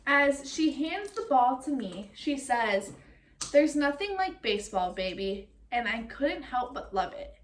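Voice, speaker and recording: A woman reading a picture book aloud like a teacher, expressive and speaking directly to young listeners. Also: sits high, unhurried, a little hiss or hum. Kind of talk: read-aloud storytelling with comprehension questions.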